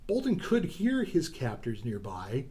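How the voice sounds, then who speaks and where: A man's voice: medium pitch, wildly swooping pitch, a little slow, mellow, husky. A man narrating a radio adventure serial in a theatrical, suspenseful storytelling style.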